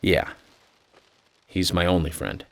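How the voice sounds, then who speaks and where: An American man playing an eccentric, introspective federal agent in a video game, with a measured, earnest, confiding manner. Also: low-pitched, animated, gravelly, exaggerated voice. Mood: hesitant, confiding. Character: eccentric, introspective male FBI agent with an imaginary friend. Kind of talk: video game cutscene dialogue.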